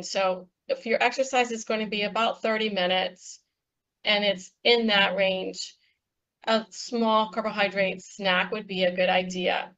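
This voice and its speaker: A woman on a panel, explaining nutrition slides in a steady, instructive way with frequent ums. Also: medium pitch, lo-fi sound. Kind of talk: presenting slides in a panel talk.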